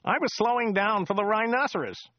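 A man voicing a chatty, excitable driver who rambles, repeats himself and laughs at his own jokes. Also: fairly quick, lo-fi sound. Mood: rambling chatter. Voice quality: high, loud and excitable. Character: chatty loud male American taxi driver. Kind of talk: audiobook character voice.

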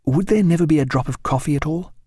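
A man narrating plays a reserved doctor in a historical naval audiobook. He speaks with understated courtesy in a somewhat raised pitch, dryly polite, in a close dry recording. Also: quick pace, dark tone, slightly rough, no echo. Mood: polite, wistful.

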